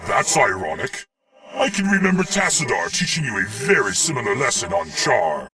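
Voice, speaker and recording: A proud alien praetor for a video game. He declaims solemnly and formally, with extremely dramatic swings of pitch. The voice is distant, with faint music behind. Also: very animated, normal speed, pushed and bright, husky, dry recording, exaggerated voice. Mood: bitter irony. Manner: formal, grandiose diction.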